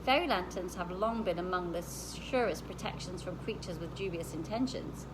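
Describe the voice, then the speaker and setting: A woman reading the part of a calm, practical, knowledgeable woman explaining things in a storybook. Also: fairly low pitch, moderate pace, background noise, natural voice.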